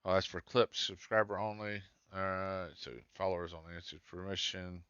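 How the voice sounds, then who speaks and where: A male game streamer with a scratchy, fairly low voice that has a bright, forward edge. He murmurs to himself as he works through menus. The close mic sounds dry and a little dull. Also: level tone, normal speed, clear, resonant tone, dry recording. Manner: muttering while searching through settings. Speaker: gaming streamer.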